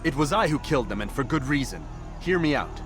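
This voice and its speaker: A man plays a proud, stern young assassin in a video game. He speaks, cold and terse, with music clearly behind him. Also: mid-range voice, big pitch swings, fast talker, lightly acted. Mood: earnest, firm. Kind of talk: video game cutscene acting.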